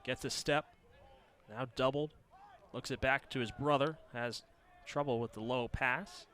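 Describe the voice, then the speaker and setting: A man's voice: mid-range voice, a little slow, fairly bright tone. A man calling live sports as a play-by-play announcer on a broadcast.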